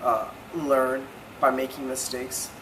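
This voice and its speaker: A man's voice: mid-range voice, level tone, slow pace. A man from California giving candid interview answers, with frequent hesitant fillers. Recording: distant mic, background noise.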